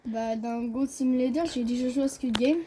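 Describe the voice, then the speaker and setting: A woman's voice: fairly high pitch, steady tone, moderate pace. A woman chatting casually while gaming, teasing and insistently repeating phrases. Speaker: co-player. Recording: noticeable background noise, clicks.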